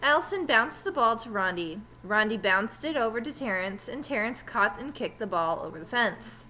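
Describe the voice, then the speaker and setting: A woman teacher reading a children's story aloud with animated, emphatic delivery. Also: fairly high pitch, normal speed, slight background noise, low-quality recording.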